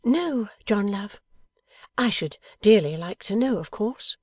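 A man voicing a devoted young wife in an audiobook, tender, earnest and fiercely loyal, with breathy emotion. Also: high-pitched, expressive, slow, darkish tone, no echo, clean studio sound, pitched above the performer's own voice, exaggerated voice.

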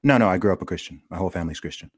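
A man's voice: deep voice, racing, slightly rough, no room sound, studio quality. A man in casual conversation, talking earnestly with many restarts, who grew up in Saudi Arabia.

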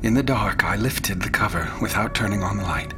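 An actor playing a haunted explorer in a radio horror drama, narrating a terrifying ordeal with intense, deliberate and sometimes hushed phrasing. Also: male voice, lowish voice, music in the background, natural voice. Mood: hushed dread. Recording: clear background noise and ambience.

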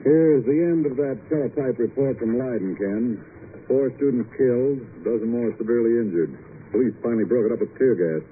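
A man's voice: medium pitch, a little hiss or hum, close to an everyday voice. An actor playing a sober, businesslike male intelligence chief in a 1950s radio drama. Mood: grave. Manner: measured, businesslike. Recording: muffled vintage radio broadcast, music.

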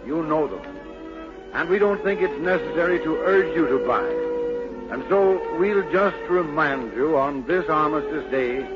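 Casting: male American announcer, measured and declamatory, a little high in pitch, vintage broadcast with muffled sound. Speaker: radio announcer. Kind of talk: 1940s scripted radio announcing. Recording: muffled 1940s radio broadcast.

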